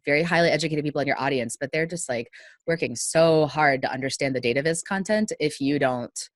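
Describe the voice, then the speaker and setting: A woman's voice: deep voice, fairly quick, no echo, studio quality. A woman in her thirties from Northern Virginia with a Washington DC area American accent, chatting as a guest over a headset on a video call. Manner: chatty, animated with hand gestures. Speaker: guest, data visualization trainer. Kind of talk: casual podcast interview over video call. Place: small room at home.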